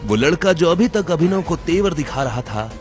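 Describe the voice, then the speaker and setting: A man's voice: a little high, expressive, moderate pace, over music. A man narrating a scripted Hindi audio drama as a dramatic storyteller.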